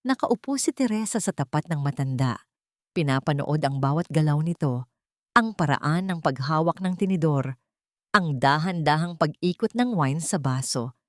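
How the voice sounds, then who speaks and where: Woman narrating drama, lower-pitched, dry close sound. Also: darkish tone, no echo. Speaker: audiobook narrator. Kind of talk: dramatic scripted story narration.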